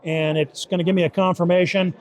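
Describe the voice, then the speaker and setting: A man with a somewhat high voice walks through a software tool in an informal demo. He explains it conversationally, often repeating and rewording his points as he goes. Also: flat delivery, fairly quick. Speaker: software team member demonstrating a beta tool. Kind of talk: informal product demo in an interview.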